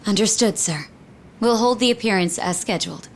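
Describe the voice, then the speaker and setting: An actress playing a polite, efficient secretary who speaks formally and deferentially in a video game. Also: female voice, medium pitch, normal speed, a little hiss or hum, close to an everyday voice.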